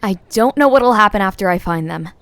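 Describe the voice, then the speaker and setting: A woman's voice: medium pitch, lively intonation, a little fast, a bit bright, no echo. A woman playing a weary, wry but determined young woman hiker who grumbles to herself in an audio drama. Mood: resolute.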